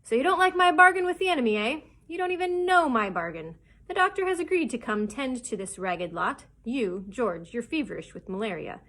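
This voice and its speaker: A woman's voice: mid-range voice, lively intonation, normal speed, fairly bright tone. Woman playing a blustering, wily pirate captain. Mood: blustering, persuasive. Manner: rough sailor talk, blustering and cajoling. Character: bold, wily pirate captain, adult man, commanding.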